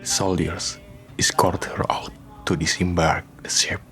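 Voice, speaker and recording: A man narrating a scripted radio drama in a solemn, measured way. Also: deep voice, level tone, a bit bright, whispered. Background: faint music.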